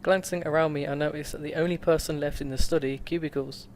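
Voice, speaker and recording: A man's voice: on the high side, fairly even intonation, fast talker, slight background noise. A man hosting a let's play, reading a visual novel aloud with stumbles, self-corrections and laughs.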